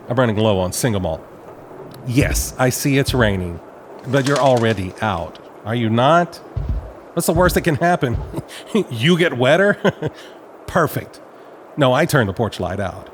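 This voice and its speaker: Man playing a smug novelist, mid-pitched. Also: a little hiss or hum, natural voice. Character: smug whisky-drinking male novelist, rattled by intruders. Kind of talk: audio drama acting.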